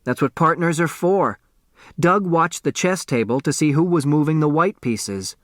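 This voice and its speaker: A man's voice: a little high, animated, moderate pace, a bit bright, no echo, clean studio sound, voice pushed up. A man voicing an earnest, mournful teenage boy explaining himself in a storybook. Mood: earnest reasoning.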